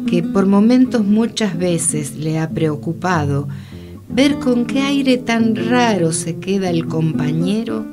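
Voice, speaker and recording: A woman giving an expressive poetry recitation. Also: on the low side. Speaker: poetry reader. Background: loud plucked guitar music.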